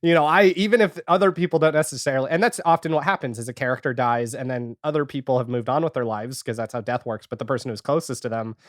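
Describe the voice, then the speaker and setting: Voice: medium pitch, fast talker, hardly any pauses, no echo, clean studio sound. A man chatting casually as a podcast guest, rambling and retelling things with quoted reactions. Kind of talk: casual podcast chat about a TV series.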